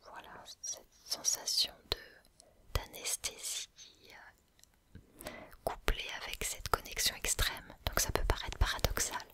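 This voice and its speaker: Man, soft unvoiced whisper, very slow, musing, hesitant, ASMR creator. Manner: musing, reflective.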